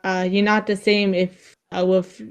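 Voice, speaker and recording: A woman with a slight Vietnamese accent, chatting casually on a podcast. She stops and restarts her sentences often and laughs between her thoughts. Her pitch sits in the middle of the usual range. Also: level tone, clean tone. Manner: halting, many restarts and fillers, giggly. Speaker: streamer and podcast guest. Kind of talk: casual chat.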